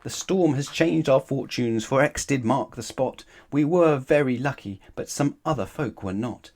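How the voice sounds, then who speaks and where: A man's voice: mid-range voice, moderate pace, a little mellow, lightly acted. A man voicing an eager, kind-hearted young girl who flies planes in a children's storybook. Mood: caring.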